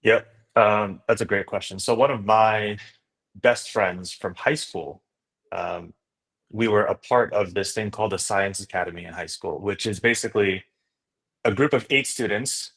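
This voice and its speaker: A man with a fairly low voice, from Indonesia and a native speaker of Indonesian. He talks as an interview guest, telling his story in a casual, enthusiastic way and often tagging phrases with a checking word. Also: normal speed, fairly bright tone. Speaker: podcast guest, startup founder and investor.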